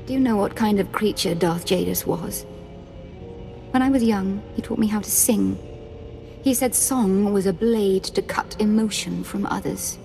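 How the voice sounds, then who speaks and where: An unhinged young Sith lord in a video game cutscene, her gravelly, fry-edged voice swinging between imperious commands and bitter self-pity. She sits at a middle pitch for a woman, with faint music under her lines. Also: measured pace, dark tone. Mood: haunted recollection. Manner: volatile, lurching from imperious rage to self-pity.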